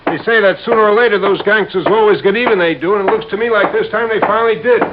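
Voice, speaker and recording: An actor playing a chatty, folksy small-town hotel clerk in old-time radio style. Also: male voice, sits high, fast talker, close to an everyday voice. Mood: gossipy. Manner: folksy, rambling. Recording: muffled, noisy vintage radio recording.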